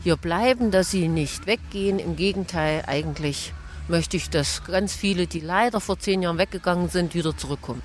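A woman, a deputy mayor giving measured, earnest answers in a radio interview. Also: fairly low pitch, a little hiss or hum.

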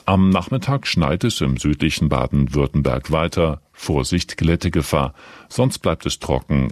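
A man reading a formal radio news and weather bulletin in a measured, neutral manner. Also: a bass voice, dry recording, studio quality. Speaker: radio newsreader. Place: radio studio.

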